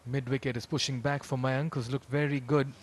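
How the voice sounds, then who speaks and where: A man's voice: medium pitch, level tone, a little fast. A man giving live cricket commentary mixed with casual chat. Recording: clear background noise, live ground ambience.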